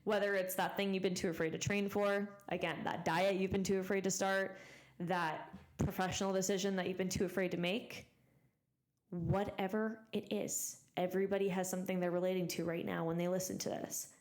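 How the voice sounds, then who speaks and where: A woman's voice: mid-range voice, little pitch movement, brisk. A young woman in her twenties hosting a motivational solo podcast, talking emphatically and repeating phrases for stress while her voice recovers from being lost. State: recovering from voice loss. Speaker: podcast host.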